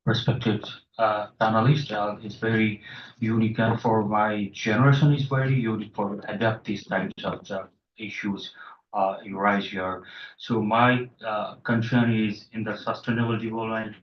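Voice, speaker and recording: A man from Nepal speaks from the floor, his lowish voice picked up from a distance in a roomy hall. He talks haltingly, breaking off and restarting with fillers. The sound is a little dull. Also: a little hiss or hum. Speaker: audience member asking a question. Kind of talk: floor question at a panel.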